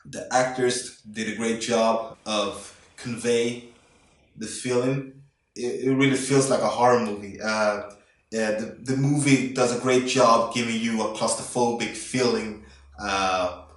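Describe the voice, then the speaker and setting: A man's voice: fairly low pitch, distant mic. A man giving a casual, enthusiastic movie review vlog, rambling with fillers and restarts. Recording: very echoey room, away from the mic.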